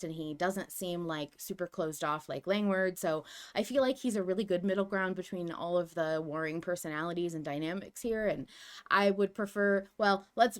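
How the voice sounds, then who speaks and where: A woman hosting a podcast in casual discussion, talking in an animated, emphatic and rambling way. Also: on the low side. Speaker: podcast host.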